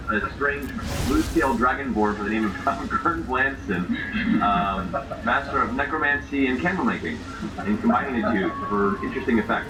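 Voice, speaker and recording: A man narrating a tabletop game as game master, in a formal, florid storytelling way with pauses. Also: mid-range voice. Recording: roomy distant mic, clearly noisy background.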